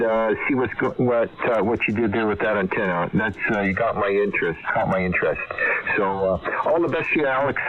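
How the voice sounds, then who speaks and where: Over a radio transmission, a man chats casually as an amateur radio operator. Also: on the low side. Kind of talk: casual ham radio chat. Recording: dull radio link, background babble.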